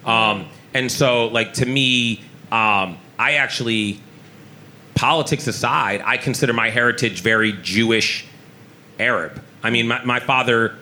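A man's voice: mid-range voice, unhurried, sharp tone, slight background noise. A man from Israel, a chef and restaurant owner, speaking on a live panel in a restaurant with many restarts and fillers.

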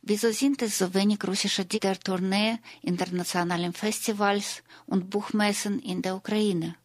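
A woman reading scripted narration for a radio feature in a measured, even way. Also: medium pitch, crowd noise.

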